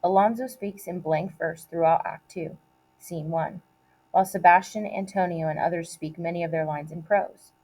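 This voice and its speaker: A woman reading aloud like a teacher giving a test, in a measured, deliberate way with short, separated phrases. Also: fairly low pitch, steady tone, a little mellow, rough recording. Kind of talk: read-aloud of multiple-choice questions and quoted play lines.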